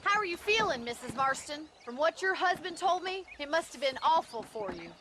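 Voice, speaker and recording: High-voiced, plucky frontier rancher. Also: female voice, sing-song, brisk, noisy background. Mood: sympathetic. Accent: American, rural Western. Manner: wry, forthright. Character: plucky, plain-spoken young woman rancher. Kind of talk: video game cutscene acting.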